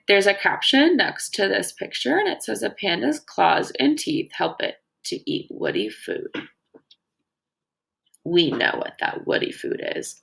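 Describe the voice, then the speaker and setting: A woman teacher doing an educational read-aloud for children, explaining as she reads and pausing to wonder aloud and ask questions. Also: medium pitch, vocal fry, fairly bright tone. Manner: explanatory, pauses to wonder aloud and pose questions to young listeners. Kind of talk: educational read-aloud of a children's nonfiction book with think-aloud comments.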